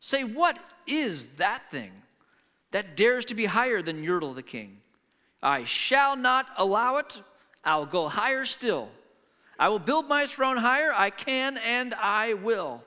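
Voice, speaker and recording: A man's voice: high-pitched, big pitch swings, slow, bright, forceful tone, exaggerated voice. A man voicing a pompous, boastful turtle king who bellows out commands in a storybook reading, in a hall with long reverb. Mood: indignant.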